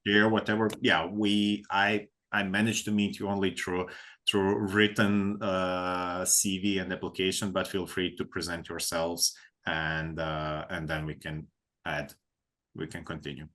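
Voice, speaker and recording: A man's voice: low-pitched, little pitch movement, a little slow, fairly bright tone. A man opening a formal interview, hesitant and repeating words as he invites the candidate to speak.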